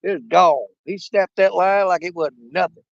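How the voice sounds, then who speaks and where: A man hosting a fishing live stream outdoors at a small lake, hoarse and losing his voice, rambling in a folksy way straight to his viewers. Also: sits high, moderate pace, no echo, highs cut off. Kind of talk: casual live-stream chat.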